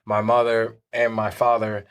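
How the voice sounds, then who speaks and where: Relaxed man in his thirties from Puerto Rico. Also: fairly low pitch, unhurried, smooth. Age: 30s. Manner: relaxed, hesitant with fillers. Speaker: podcast guest. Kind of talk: casual interview answers.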